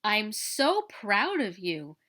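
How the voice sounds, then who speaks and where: Woman playing a kindly old lady, lively intonation. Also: mid-range voice, slow, heavily acted. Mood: proud. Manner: emphatic, encouraging.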